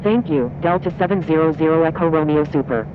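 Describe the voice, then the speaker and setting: A man's voice: fairly high pitch, fast talker, narrow, tinny audio. A man speaking clipped, procedural air traffic control phraseology as a radio operator.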